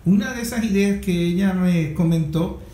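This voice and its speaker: Male moderator, Venezuelan accent, soft dark tone, conversational and halting, roomy sound with faint noise. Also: sits high. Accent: Venezuelan Spanish. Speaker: host, moderator. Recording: echoey room, faint noise.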